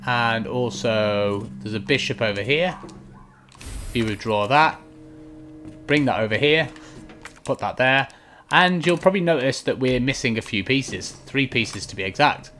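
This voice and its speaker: A man's voice: medium pitch, fairly bright tone. Man guiding casually, faint clicks behind. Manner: explanatory, step-by-step guiding. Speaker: video game walkthrough commentator. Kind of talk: casual gameplay commentary. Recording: faint keyboard and click noise.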